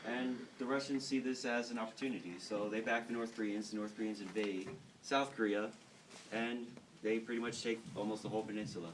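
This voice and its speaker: A man's voice: on the low side, fairly even intonation, brisk. A young American man casually telling history in a slangy, irreverent, joking way to classmates. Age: teens to young adult. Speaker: student cadet telling history stories. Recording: noisy room, distant microphone.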